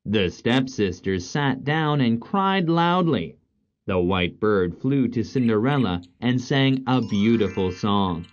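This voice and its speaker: A woman's voice: a bass voice, expressive, slow. A woman narrating a scripted children's story as the storyteller. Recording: dull lo-fi audio, some noise.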